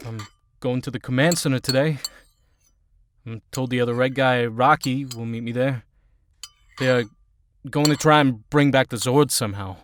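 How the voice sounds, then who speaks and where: An actor playing a grieving young superhero in an audio drama, earnest and halting in his delivery. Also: mid-range voice, a little hiss or hum, natural voice. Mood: awkward. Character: conflicted grieving young male superhero team leader.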